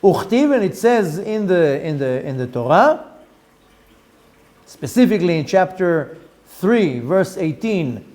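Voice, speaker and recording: A middle-aged man with an Israeli accent teaching a Torah lesson, reading Hebrew text quickly and explaining it in English with lively rhetorical questions. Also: sits high. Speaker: rabbi teaching Zohar.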